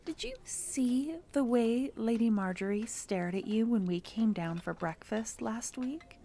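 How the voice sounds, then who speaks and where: A woman playing a young female court necromancer who is tenderly affectionate and playful with her lover, speaking softly and often in a whisper for an ASMR roleplay. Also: fairly high pitch, measured pace, creaky. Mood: tender, teasing. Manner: soft, intimate, often whispered.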